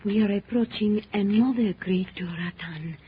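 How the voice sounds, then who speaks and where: A woman's voice: medium pitch, moderate pace, slight background noise, natural voice. A woman playing a watchful, anxious adventurer in a 1930s radio serial, with an earnest, dramatic delivery. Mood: tense awe. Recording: muffled old 1930s radio recording.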